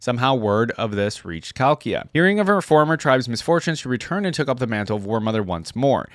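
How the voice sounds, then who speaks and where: A man's voice: medium pitch, big pitch swings, brisk, no room sound, studio quality. A man hosting a reaction channel, moving between casual commentary and reading aloud.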